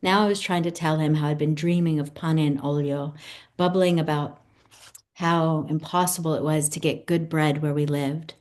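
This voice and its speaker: A woman's voice: sits low, fairly even intonation, moderate pace, darkish tone. A woman in her fifties whose first language is Italian, a guest poet reading and discussing her own poems. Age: 50s. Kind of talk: poetry reading and conversation about own poems.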